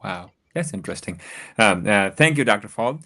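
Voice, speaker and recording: A man with a Nepali accent hosting a live-streamed talk show, halting over his words with many uhs as he asks questions. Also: fairly low pitch, lively intonation, sharp tone. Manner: halting, with many uhs, switches between languages.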